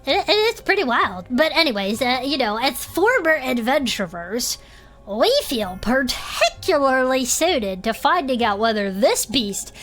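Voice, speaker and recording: A woman's voice: high voice, very animated, measured pace, fairly bright tone, soft background music, exaggerated voice. A woman playing a friendly, bookish turtle-folk investigator who explains things earnestly and chirpily as a podcast host in an audio drama. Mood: eager, explanatory.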